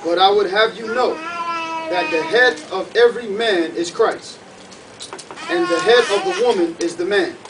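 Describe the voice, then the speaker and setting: A man's voice: high-pitched, normal speed. A man reading Bible verses aloud in a declamatory way, phrase by phrase. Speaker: scripture reader assisting the preacher. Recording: distant mic, clear background noise.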